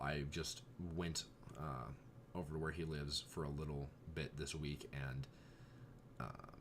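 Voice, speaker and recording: Very deep-voiced young man, slightly hoarse. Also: a little hiss or hum. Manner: rambling, exasperated asides. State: voice going hoarse. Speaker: vlogger. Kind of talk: casual chat.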